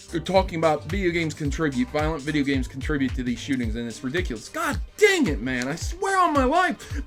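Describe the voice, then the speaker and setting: A young man with a fairly high voice presents opinionated video game news commentary. His delivery is emphatic and sarcastic, leaning into a rant. Faint music plays behind him.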